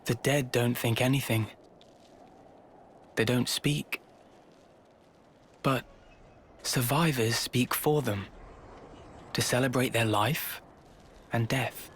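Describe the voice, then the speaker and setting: A man playing a gentle, solemn young man who mourns the dead in a video game cutscene, speaking haltingly. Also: mid-range voice, steady tone, slight background noise.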